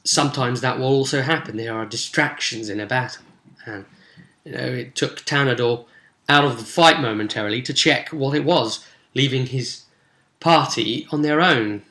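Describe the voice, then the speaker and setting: A man with a bright, forward voice and a mid-range pitch gives a casual game master's recap. He explains things conversationally and rambles a little. The sound is roomy and echoey. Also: moderate pace. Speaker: game master recapping tabletop role-play sessions. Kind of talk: casual spoken recap and commentary.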